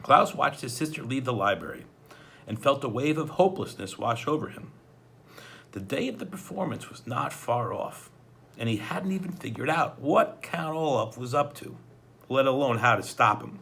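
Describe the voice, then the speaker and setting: Animated, bright-voiced male storybook reader. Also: mid-range voice, moderate pace, creaky, slightly rough. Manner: dramatic, with stumbles over words. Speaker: storybook reader, school principal. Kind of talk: expressive children's read-aloud narration.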